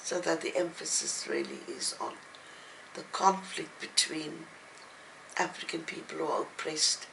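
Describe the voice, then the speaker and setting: An older woman speaking as an interviewed writer, reflective and halting, with thoughtful pauses. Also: low-pitched, gravelly, fairly bright tone, a little hiss or hum, low-quality recording. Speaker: novelist and playwright being interviewed. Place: at home, indoors.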